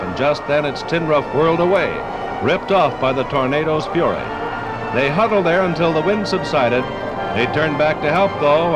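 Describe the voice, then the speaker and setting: A man's voice: on the high side, rough recording. An American man narrating a 1950s radio documentary from a script in a dramatic storytelling manner.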